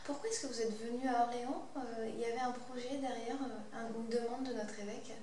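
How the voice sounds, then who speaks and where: A woman's voice: a little high, fairly even intonation, a little fast, a little mellow, slight background noise. A woman interviewer asking conversational questions, hesitantly rephrasing them as she goes. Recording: very echoey, distant mic, background chatter.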